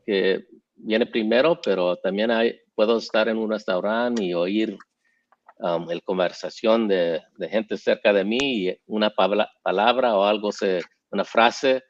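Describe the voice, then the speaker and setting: A man on an illustrators' panel, talking in a halting way and slipping English fillers into his Spanish. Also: on the low side, dry recording, lo-fi sound. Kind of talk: casual panel chat.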